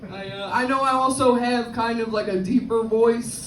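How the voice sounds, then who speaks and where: A woman from the South Bronx with a Bronx accent and a deep, slightly cracked voice, doing a brash, crude stand-up set on a club stage. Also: steady tone, normal speed, slight background noise. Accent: Bronx New York. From South Bronx, New York. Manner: brash, crude, self-mocking punchlines. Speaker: stand-up comedian. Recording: echoey club, far from the mic. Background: audience laughter.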